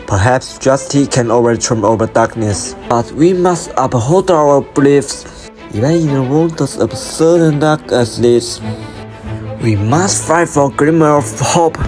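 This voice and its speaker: A man's voice: medium pitch, a little slow, darkish tone, soft background music, lightly acted. A man playing an earnest, idealistic detective's partner in an amateur radio drama, in a solemn, declaiming way. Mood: resolute.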